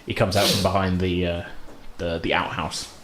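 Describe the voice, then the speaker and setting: A man's voice: deep voice, flat delivery, normal speed, fairly bright tone, a little hiss or hum. A man acting as game master, narrating a tabletop game in an informal, conversational way. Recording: roomy echo.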